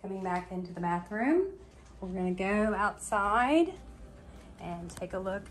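A woman's voice: medium pitch, lively intonation, a little slow. A woman working as a real estate agent, narrating an informal walk-through of a property. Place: walking through a house and its yard.

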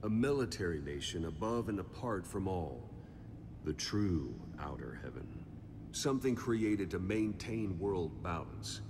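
Cryptic veteran military schemer: deep, measured, grave game-drama delivery in a reverberant, noisy setting. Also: male voice. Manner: measured, grave, deliberate pauses. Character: calculating older male soldier, cryptic strategist, calmly resolute. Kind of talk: dramatic game cutscene dialogue. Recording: long reverb, clear background noise.

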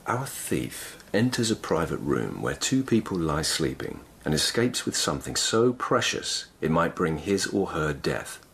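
Very deep-voiced, grave lieutenant. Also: male voice, sing-song, gravelly, a bit bright, breathy edge, heavily acted. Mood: probing. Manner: measured, formal, deferential. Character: grave, courteous male palace lieutenant investigating thefts. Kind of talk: radio drama acting.

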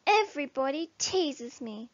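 A small young warthog boy from a children's storybook, acted out by a woman. The voice jumps high, plaintive and eager, with an exclamatory delivery. The recording is slightly dull. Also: lively intonation, measured pace, no room sound. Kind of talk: read-aloud children's storybook.

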